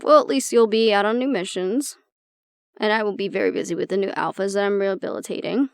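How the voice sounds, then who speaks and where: A woman playing an anxious young woman with a tough front and a soft core, confiding casually and with swearing in an intimate audio roleplay. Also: medium pitch, quick pace, clear, resonant tone, no room sound, clean studio sound, close to an everyday voice. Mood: worried, affectionate.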